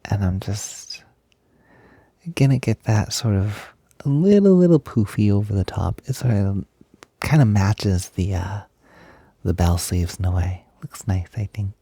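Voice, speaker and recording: Guy acting as a sweet doting young male roommate, low n breathy, takes his time, kinda hesitant, roleplay audio. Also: a little slow, warm tone, no room sound, studio quality. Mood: gentle, attentive. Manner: hesitant, gushing, reassuring. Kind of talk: intimate roleplay audio, soft-spoken.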